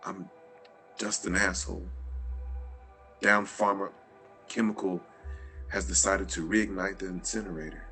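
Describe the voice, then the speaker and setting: A very deep-voiced jaded noir journalist read by a man at a table read, brooding and sardonic. Faint music plays underneath. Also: steady tone, measured pace, long pauses, bright, forceful tone, full character voice. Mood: bleak. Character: jaded cynical male journalist, adult.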